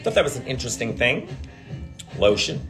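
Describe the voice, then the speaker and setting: A man's voice: medium pitch, very animated, moderate pace, music in the background. A man in his forties chatting on a phone livestream, brash, profane and sardonic. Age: 40s. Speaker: drag performer livestreaming. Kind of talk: casual livestream chat with viewers. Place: indoor hotel hallway, then elevator. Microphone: phone at arm's length.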